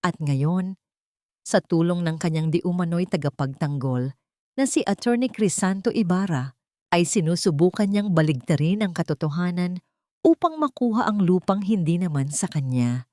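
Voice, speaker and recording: Woman playing formal male lawyer in audio drama, accusing someone in court, lowish dry voice. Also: expressive, moderate pace, a little mellow, dry recording, lightly acted. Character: accusing male opposing lawyer addressing a judge.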